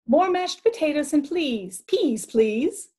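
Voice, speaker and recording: A woman's voice: high-pitched, lively intonation, slow, mellow, voice pushed up. A woman voicing a kindly old great uncle who instructs patiently in a read-aloud storybook. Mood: patient, instructive.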